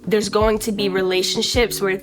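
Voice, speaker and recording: A woman with a medium-pitched voice speaks as a host giving a tarot reading. She explains in a conversational way, addressing her listener directly. Music is clearly heard in the background. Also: flat delivery, moderate pace.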